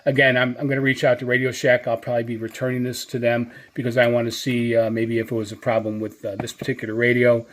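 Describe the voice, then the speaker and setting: A man's voice: medium pitch, flat delivery, quick pace, hardly any pauses. A man giving a casual, unscripted product review as a channel host, speaking hesitantly and often circling back over his points.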